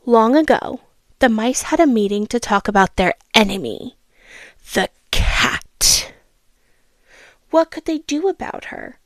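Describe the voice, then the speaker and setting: A woman reading a children's storybook aloud, expressive and teacherly, with asides and questions for the listener. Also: fairly high pitch, slow, long pauses, dry recording, clean studio sound.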